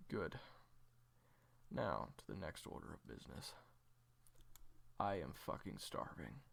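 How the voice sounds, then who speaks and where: A deep, gravelly male assassin acted by a man for an audio roleplay. He is haunted and weary, brooding through halting, dramatic pauses. His pitch moves widely as he speaks. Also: big pitch swings, fairly bright tone, exaggerated voice. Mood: weary, softened. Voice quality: gravelly, low.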